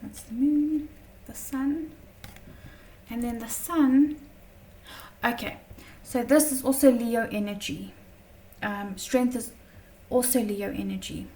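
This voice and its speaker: A woman teaching a tarot lesson in a chatty, casual way, her voice slightly croaky from a long day of talking, often checking in with "okay?". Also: a little high, steady tone, slow, mellow, far from the mic, poor audio. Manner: chatty, many fillers and "okay?" check-ins.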